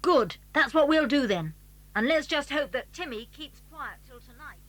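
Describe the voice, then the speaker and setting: A woman's voice: sits high, lively intonation, normal speed. A woman acting a plucky, scheming British schoolboy in a children's audio drama. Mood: plotting, determined.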